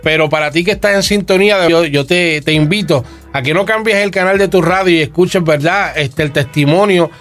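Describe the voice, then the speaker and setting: Man, radio host, somewhat high voice, faint music behind. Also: brisk, few pauses, a bit bright. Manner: friendly, coaxing questions.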